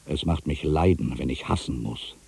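A man playing an ageing, solitary writer who broods on his past in a deliberate, reflective literary monologue. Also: very deep voice, creaky, darkish tone. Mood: brooding, resigned. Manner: brooding, deliberate, with pensive pauses. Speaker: monologue performer. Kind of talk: literary dramatic monologue, reflective first-person narration.

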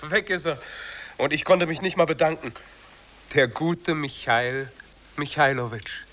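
An earnest, higher-voiced young hero. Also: male voice, a little slow, fairly bright tone. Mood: mild surprise. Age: young adult. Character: earnest, self-assured young man, clever riddle-solver. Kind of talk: children's radio drama acting.

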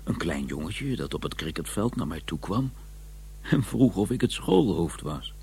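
Very husky, deep-voiced reminiscing scholar. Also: male voice, big pitch swings, a little mellow, heavily acted. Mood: reflective. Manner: measured, musing, deliberate pauses. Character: educated English scholar narrating his memories, wry and reflective. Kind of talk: radio drama first-person narration.

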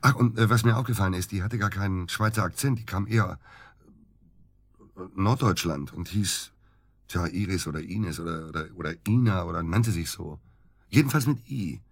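Radio drama evasive male doctor under suspicion: deep, husky, hesitant, very dry recording. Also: dry recording. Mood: weary, groping. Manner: halting, hesitant, defensive. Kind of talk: naturalistic German radio drama acting.

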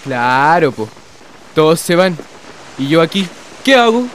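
A sulky, bored Chilean teenager in a TV drama. His voice is on the high side, grumbling and slangy, with faint outdoor noise behind it. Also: very animated, measured pace, low-quality recording. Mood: self-pitying, fed up. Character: sulky, bored teenage son on a family outing.

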